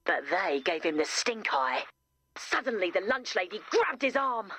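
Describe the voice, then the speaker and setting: A woman's voice: mid-range voice, big pitch swings, unhurried, a bit bright, husky, exaggerated voice. A woman voicing a chipper camp counselor in a video game, with an upbeat, theatrical storytelling manner. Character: chipper, theatrical female camp counselor telling spooky stories. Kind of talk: video game character acting.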